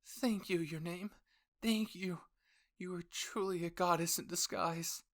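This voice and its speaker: Guy doing an overdramatic anime soldier girl, pitched way up, gushing and super grateful. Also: steady tone, unhurried, fairly bright tone. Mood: tearful gratitude.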